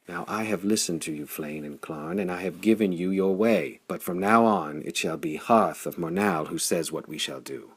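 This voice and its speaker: Casting: male reader as haughty, quarrelsome lord in an adventure audiobook, sullen and blustering, with a gravelly rasp. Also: sits low, moderate pace, hardly any pauses. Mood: defiant anger. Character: proud, sullen, bellowing male nobleman, argumentative.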